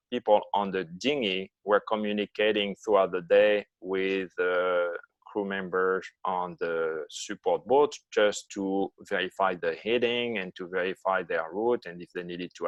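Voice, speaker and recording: Guy in his 50s with a foreign accent, low voice, kinda halting with lots of uh's, dry close sound. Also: no echo, muffled recording. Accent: foreign accent in English. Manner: halting, non-native phrasing with many uh pauses. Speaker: guest, long-distance ocean swimmer. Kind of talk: relaxed interview answers.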